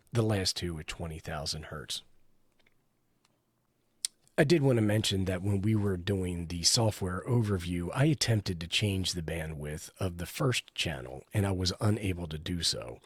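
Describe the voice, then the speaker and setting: A man's voice: low-pitched, husky, dry recording, studio quality. A man giving a casual hands-on product review, explaining each step as he goes. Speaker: radio hobbyist reviewer, host.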